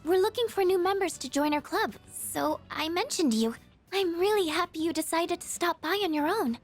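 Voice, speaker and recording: Shy gentle schoolgirl, soft and hesitant, very high voice, music under. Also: expressive, moderate pace. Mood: friendly.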